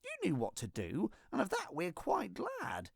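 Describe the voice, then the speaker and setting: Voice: mid-range voice, wildly swooping pitch, measured pace, vocal fry, slightly rough, dry recording, clean studio sound, pitched above the performer's own voice, exaggerated voice. A storyteller voicing a kind, reassuring female firefighter in a children's storybook, encouraging and emphatic.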